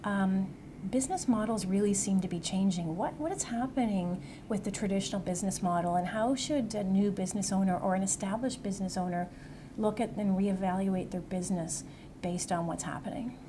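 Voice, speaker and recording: A woman's voice: mid-range voice, brisk, noisy background. A woman interviewer asking questions in a friendly, encouraging way.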